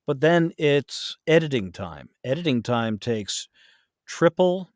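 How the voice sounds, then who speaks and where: A man's voice: medium pitch, big pitch swings, slow, no room sound. Man explaining things casually, close dry sound. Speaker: podcast guest, voice actor and audiobook narrator. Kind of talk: casual interview conversation.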